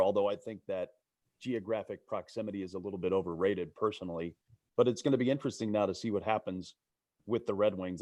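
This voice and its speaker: A man's voice: on the low side, a little mellow, no room sound. A man who works as a baseball broadcaster, chatting casually as a guest on a video call. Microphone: video call microphone.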